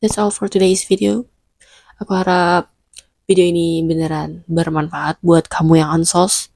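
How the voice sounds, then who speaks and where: A young woman with a stuffy, blocked-up nose talks through friendly advice in a relaxed vlog. Her voice sits a little low and mellow. The sound is dry and close, with no room around it. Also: a little slow, dry recording. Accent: Indonesian. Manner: chatty, mixes English phrases, playful scolding. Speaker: vlogger.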